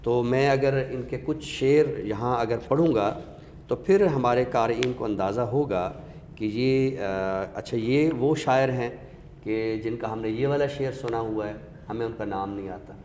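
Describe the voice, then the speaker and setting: A man with a Pakistani accent, giving a literary talk with recited verse in a measured, explanatory way with pauses. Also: medium pitch, a little mellow. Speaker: host of an Urdu literature show. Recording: echoey reverberant room, faint noise.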